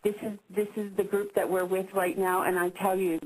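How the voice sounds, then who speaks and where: A woman's voice: medium pitch, little pitch movement, moderate pace, slight background noise, rough recording. Effusive woman introducing a guest on a call. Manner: effusive, full of praise. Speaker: guest speaker on a business call. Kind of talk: warm introduction speech.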